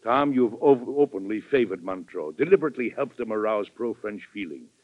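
An actor playing a weary but firm American president in old-time radio drama style. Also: male voice, fairly low pitch, lively intonation, fairly quick. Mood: stern. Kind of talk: 1940s radio acting. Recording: muffled old radio recording with music.

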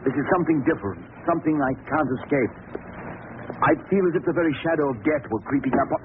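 An actor playing a haunted, evasive husband in a 1940s radio thriller, with an agitated, nervous delivery full of repetition. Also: male voice, fairly high pitch, quick pace, lightly acted. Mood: evasive dread. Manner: agitated, nervous, repeats himself. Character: haunted, evasive husband fearing for his life. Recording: noisy, narrow-band old radio recording.

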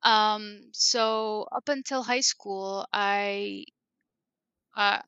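Bright, crisp-voiced woman. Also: mid-range voice, fairly even intonation, extremely slow, dry recording, highs cut off. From Egypt. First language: Egyptian Arabic. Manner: rambling, lots of fillers and restarts. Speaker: guest, parenting consultant. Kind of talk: casual interview conversation.

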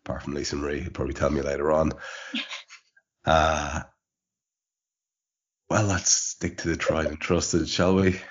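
A man's voice: extremely low pitch, little pitch movement, a little slow, pauses often, husky. A man hosting a podcast from home, congested and sniffling from allergies, talking in a wry, rambling way full of fillers. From Ireland. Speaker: podcast host. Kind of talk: casual chat. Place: home studio in rural Ireland. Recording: dull lo-fi podcast audio.

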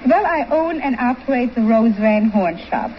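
A woman's voice: fairly high pitch, animated, moderate pace. A woman in her thirties from Germany, answering a host conversationally as a quiz show contestant. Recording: dull, faintly noisy old radio recording.

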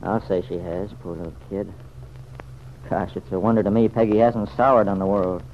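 A man's voice: deep voice, fairly even intonation, moderate pace. Folksy regular guy, noisy old radio. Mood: rueful. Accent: American. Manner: folksy, slangy, hesitant at times. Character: plain-spoken, blunt, good-hearted regular guy. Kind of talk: old-time radio serial acting. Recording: dull, noisy vintage radio recording.

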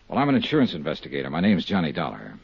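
An actor playing a tough, persistent insurance investigator in a 1950s radio drama, with a clipped, direct, probing delivery. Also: male voice, extremely low pitch, wildly swooping pitch, rapid-fire, vocal fry, breathy edge, heavily acted. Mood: insistent. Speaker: lead detective and narrator. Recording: muffled 1950s radio recording.

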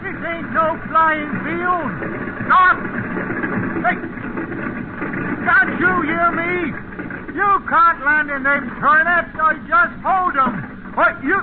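A crotchety old English countryman, folksy and indignant, his voice very high and slow. It comes through as tinny, noisy old radio drama. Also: steady tone. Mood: outraged shouting. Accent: rural English. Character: cantankerous, stubborn old English farmhand. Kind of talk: 1950s radio drama acting.

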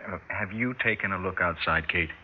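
A man's voice: sits low, a little fast, husky. An actor playing a middle-aged American husband and father in an old radio drama, stern, offended and formally sarcastic. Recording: dull vintage radio recording with faint noise.

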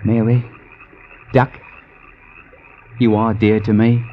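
An actor playing an ardent young suitor, declaiming poetically in an old radio drama. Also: male voice, lowish voice, little pitch movement, slow. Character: ardent, flowery young suitor in a period tale. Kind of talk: 1950s radio drama acting. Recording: dull, noisy old radio recording.

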